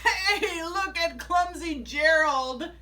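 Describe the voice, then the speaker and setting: A woman voicing a crowd of excitable jungle animals from a storybook, loud and exclaiming. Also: very high voice, slow, voice pushed up, heavily acted. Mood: mocking. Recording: distant mic, faint background noise.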